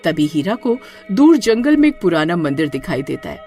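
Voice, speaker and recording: A woman telling a spooky cartoon tale with suspense. Her pitch moves a great deal, and faint music plays underneath. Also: very animated, normal speed, warm tone. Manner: dramatic, suspenseful storytelling. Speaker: narrator. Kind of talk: scripted storytelling narration.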